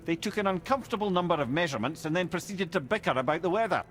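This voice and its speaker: An actor playing the witty talking head of a wise old storyteller in a video game, eloquent and theatrical with wry asides. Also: male voice, high voice, lively intonation, racing, a bit bright. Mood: wry, conversational.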